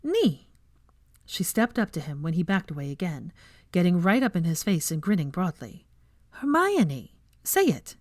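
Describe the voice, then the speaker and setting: A woman reading fan fiction aloud as narrator, in expressive scripted storytelling. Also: fairly low pitch, brisk, no echo, clean studio sound.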